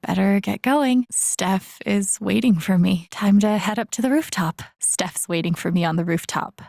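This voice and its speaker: A young video game heroine, earnest and self-doubting, musing to herself in an understated way. Her voice is breathy and husky with a gravelly fry, recorded very dry and close. The delivery is naturalistic, introspective and wry. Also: medium pitch, moderate pace, a little mellow, no echo. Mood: pensive, resolved. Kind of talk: naturalistic video game voice acting, inner monologue.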